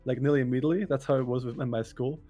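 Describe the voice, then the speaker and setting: A man's voice: medium pitch, fairly even intonation, racing, mellow, dull audio. A man chatting casually on a group podcast, rambling with lots of fillers and laughter. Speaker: podcast co-host, VTuber.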